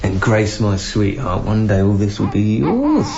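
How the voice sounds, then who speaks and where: A man's voice: lowish voice, sing-song, measured pace, heavily acted. An actor playing a devoted, hopeful young father and former club DJ in a naturalistic radio drama, with a tender, playful manner. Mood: doting. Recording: dull lo-fi recording, clear background noise.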